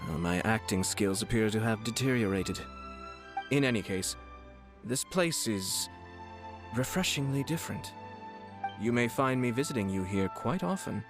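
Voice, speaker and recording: An actor playing a refined young nobleman in a video game, courteous, composed and slyly teasing, with formal, measured speech. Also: fairly low pitch, animated. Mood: gracious, musing. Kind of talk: scripted game character voice lines. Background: background music.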